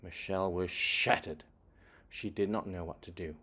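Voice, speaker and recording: Low-voiced man reading a children's story. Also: sharp tone. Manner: dramatic emphasis, deliberate phrasing, varied delivery. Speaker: children's book reader. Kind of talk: expressive read-aloud storytelling for children.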